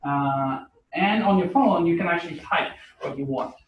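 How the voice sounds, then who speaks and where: A man's voice: fairly high pitch, moderate pace, highs cut off, a little hiss or hum. A man from Portugal with a Portuguese accent, giving a casual, chatty university lecture with many fillers. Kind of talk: classroom lecture. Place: lecture hall. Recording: echoey lecture hall recording.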